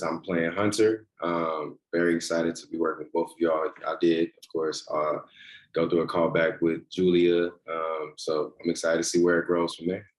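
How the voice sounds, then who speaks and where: A man speaking as an actor, politely and casually introducing himself on an echoey video call. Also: sits low. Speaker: actor at a table read. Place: indoor room on a video call. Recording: roomy echo on video call.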